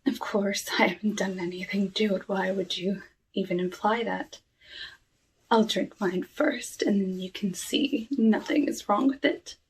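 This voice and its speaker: Woman as lonely witch of the woods for ASMR roleplay: soft, whispery, very slow, courteous with sly menace, slightly distant with faint hiss. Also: mid-range voice, fairly even intonation, close to an everyday voice. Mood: coaxing. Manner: hushed, slow, deliberate, formal old-fashioned phrasing.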